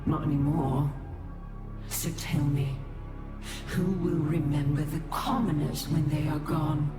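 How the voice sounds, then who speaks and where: An actor playing a grim, scornful foe in a video game, with a measured, solemn, accusing manner. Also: male voice, on the high side, steady tone. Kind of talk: dramatic video game monologue. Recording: echoey space, music behind.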